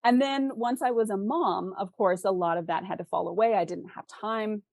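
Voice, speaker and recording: Expressive woman author in a casual interview. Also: medium pitch, moderate pace, vocal fry, dry recording, studio quality. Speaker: interview guest, fantasy author. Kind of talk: casual interview chat about own work.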